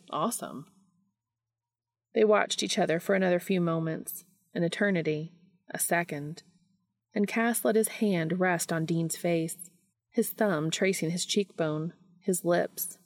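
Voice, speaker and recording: Gravelly, lower-voiced woman narrating. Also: unhurried, dry recording. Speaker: podfic narrator and podcast host. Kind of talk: scripted fiction narration.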